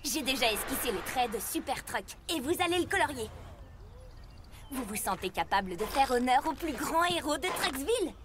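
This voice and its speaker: A woman's voice: sits high, sing-song, moderate pace, very noisy. A woman voicing an enthusiastic artist truck who teaches youngsters with exclamations in a children's cartoon. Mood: cheerful. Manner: instructive, exclamatory. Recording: music under the voice.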